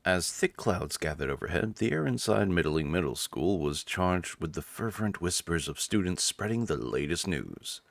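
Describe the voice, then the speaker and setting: A man's voice: sits low, lively intonation, normal speed, vocal fry, hardly any pauses, no room sound. A man hosting a let's-play, reading story narration theatrically with casual, amused asides. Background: faint game music.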